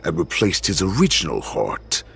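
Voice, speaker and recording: A man voicing a monstrous, ancient wolf-beast warlord in a video game, savage and gloating, with a gravelly, theatrical, menacing delivery. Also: sits low, huge pitch swings, moderate pace, fairly bright tone, heavily acted. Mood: gloating, solemn. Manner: theatrical, menacing, savoring each word. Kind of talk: dramatic video game voice acting.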